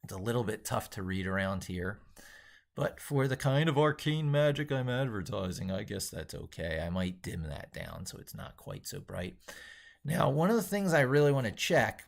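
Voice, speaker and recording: A man's voice: fairly low pitch, big pitch swings, normal speed, gravelly. A man giving an unscripted live-stream tech tutorial, rambling as he narrates what he does and leaning hard on his points. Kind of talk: unscripted live-stream tutorial, thinking aloud while working.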